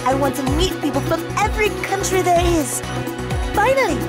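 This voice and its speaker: A woman playing a gentle, sincere young woman in a video game, with a girlish, wistful delivery. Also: very high voice, expressive, brisk. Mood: earnest, hopeful. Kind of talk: video game cutscene acting. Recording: loud music behind the voice.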